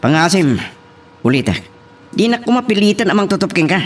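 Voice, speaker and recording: A man's voice: high voice, very animated, brisk, distant mic, soft background music. A man playing a hot-headed young man in an Ilocano romance drama, with an Ilocano accent. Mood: defiant.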